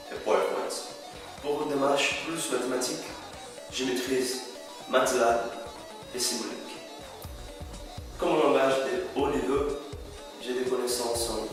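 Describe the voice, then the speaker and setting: A young man, by birth, presents himself in a steady, measured way. His voice sits at an ordinary pitch for a man and bounces around a very echoey room, picked up from some distance. Faint music plays behind him. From Brazil. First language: Portuguese. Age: 20s. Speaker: student. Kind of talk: spoken video CV.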